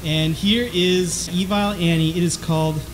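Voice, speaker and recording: A man's voice: sits high, fairly even intonation, a little slow, soft background music, rough recording. A man co-hosting a band podcast in casual chat, with a light, trebly voice and a hesitant, self-deprecating way of talking full of restarts. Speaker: podcast co-host, bass player.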